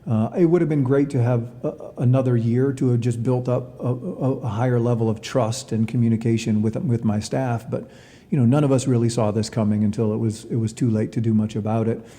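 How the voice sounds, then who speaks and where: A man answering interview questions as a college athletics director, in a measured way with hesitant, repeated words. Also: mid-range voice, fairly even intonation, warm tone, in a large hall.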